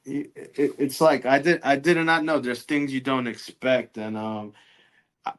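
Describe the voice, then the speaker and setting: A man's voice: mid-range voice, steady tone, a little slow, fairly bright tone. A man around his thirties or forties talking casually as an interview guest, hesitantly, often repeating words. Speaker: guest, music media grader.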